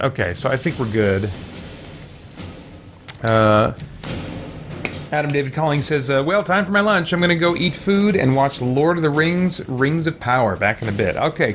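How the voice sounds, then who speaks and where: A man's voice: medium pitch, fairly bright tone, slight background noise. A man doing casual live gameplay commentary, thinking aloud and muttering to himself as he plays. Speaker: game streamer.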